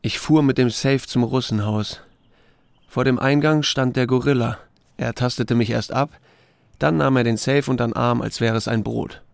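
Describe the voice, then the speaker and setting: Male, playing a laconic small-town sheriff narrating a radio drama: mid-pitched, wry, understated, studio-dry. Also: steady tone, moderate pace, vocal fry, no room sound, natural voice. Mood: wry narration. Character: unflappable, laconic small-town sheriff telling his story. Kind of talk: first-person narration and dialogue in audio drama.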